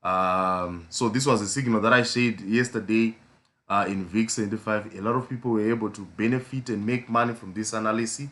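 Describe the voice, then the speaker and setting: A man with a hoarse voice from a flu, hosting a casual live trading stream with a South African accent, rambling with lots of fillers and repeated pleas. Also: fairly low pitch, fairly even intonation, brisk, fairly bright tone. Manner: repetitive pleading, frequent fillers.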